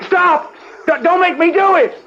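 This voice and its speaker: A man's voice: very high voice, normal speed. A man acting a panicky, threatening young colonial man in a 1970s radio drama, stammering frantically. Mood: frantic threatening. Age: young adult. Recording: dull, very noisy 1970s radio recording.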